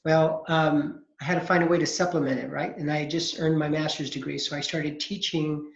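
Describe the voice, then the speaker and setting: A man in his forties, a college accounting instructor, introducing himself to his class in a relaxed, chatty way over a video call. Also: fairly high pitch, moderate pace, vocal fry. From California, USA. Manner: relaxed and chatty, with frequent fillers. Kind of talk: casual online class introduction. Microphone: computer mic on a video call. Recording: echoey, dull video-call audio.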